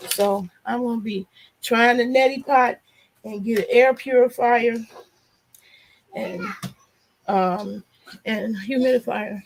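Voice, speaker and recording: A woman vlogger with a hoarse, nasal voice and a gravelly edge, pitched a little high. She talks to her viewers in a loose, frank and chatty way, drifting from one thing to the next. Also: fairly even intonation, slow pace, long pauses, rough recording. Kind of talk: casual chat to a live audience.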